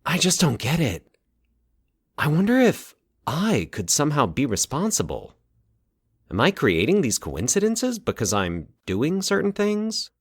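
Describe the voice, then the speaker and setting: A man's voice: on the high side, huge pitch swings, unhurried, breathy edge, no room sound, studio quality, pitched above the performer's own voice, full character voice. A man voicing a baffled, brooding young man who muses to himself in a fiction podcast story. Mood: baffled, pondering. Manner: puzzled, musing to himself. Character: Mike, baffled, brooding young man investigating eerie coincidences. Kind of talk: fiction podcast dialogue within narration.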